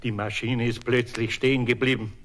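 A man's voice: mid-range voice, flat delivery, moderate pace, fairly bright tone, slight background noise, lightly acted. An actor playing an impatient, grumbling middle-aged master craftsman with a Bavarian accent, muttering in exasperation in a radio play. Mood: urgent.

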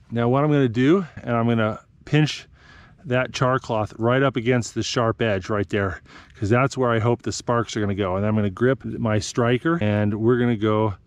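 A man in his thirties hosting an outdoor vlog, explaining bushcraft skills in a relaxed, practical way while out in a forest. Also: medium pitch. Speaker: outdoor channel host. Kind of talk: casual outdoor vlog narration. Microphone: action camera, worn on the body or close up.

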